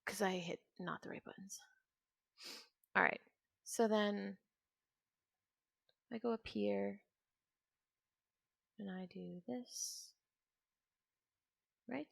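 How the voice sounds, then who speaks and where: A woman's voice: medium pitch, extremely slow, vocal fry, long pauses, dry recording. A woman in her twenties chatting casually and ramblingly as she streams a video game, sometimes trailing off into mutters to herself. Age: 20s. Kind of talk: casual chat while playing a video game.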